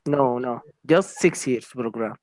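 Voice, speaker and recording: A man's voice: mid-range voice, unhurried, background noise. A man, a neurosurgeon, talking hesitantly in broken English on a video call. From Sudan. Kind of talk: casual video call chat.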